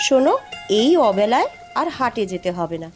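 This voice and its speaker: A woman's voice: a little high, big pitch swings, moderate pace, over music. A woman playing a bossy but caring village housewife in a cartoon, scolding and exclaiming as she talks. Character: bossy, fussing village housewife who cares. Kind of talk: cartoon dialogue.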